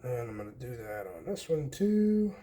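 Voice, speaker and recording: A man's voice: medium pitch, very animated, moderate pace, warm tone. A man hosting a casual hands-on DIY tutorial, explaining informally step by step in short pieces as he works. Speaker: host of a DIY homestead channel, demonstrating wiring.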